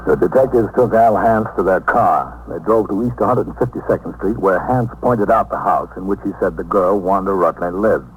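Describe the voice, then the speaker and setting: An actor playing a sober American police captain, narrating a case in an official, measured 1950s radio style. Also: male voice, sits low, narrow, tinny audio, close to an everyday voice. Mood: matter-of-fact. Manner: matter-of-fact, official, measured. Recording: noisy 1950s radio recording.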